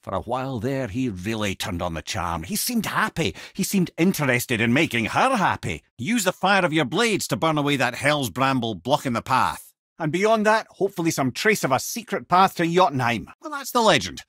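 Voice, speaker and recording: A wry old Scottish sage, a talking severed head from a fantasy video game. His voice is husky and rough-edged, swinging widely in pitch as he spins lore with eloquent, theatrical relish. The sound is close and dry. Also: sing-song, moderate pace, a bit bright, dry recording. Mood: storytelling. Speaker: companion and guide. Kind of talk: video game character dialogue and lore storytelling.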